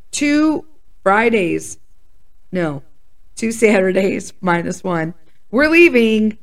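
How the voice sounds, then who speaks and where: This is a woman in her fifties from Chicago, hosting a relaxed solo podcast. Her voice sits in a middle register and sounds slightly hoarse and congested. She talks in a candid, chatty way that breaks into excited whoops. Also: mid-range voice. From Chicago, USA. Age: 50s. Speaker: podcast host. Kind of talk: casual solo podcast chat.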